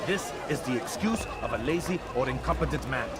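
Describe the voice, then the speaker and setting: An actor playing a ruthless, proud merchant boss in a video game, scornful and domineering. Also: male voice, mid-range voice, lively intonation, a little fast, natural voice. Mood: scornful, threatening. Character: ruthless, menacing arms dealer, proud and cruel merchant boss. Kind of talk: dramatic video game acting.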